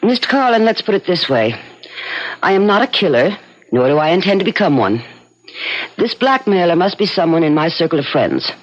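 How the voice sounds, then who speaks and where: A muffled old radio recording of a cool, haughty heiress. She is curt and businesslike, with an imperious delivery that moves widely in pitch. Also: big pitch swings, fairly quick. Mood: curt, skeptical. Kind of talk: 1970s radio drama acting.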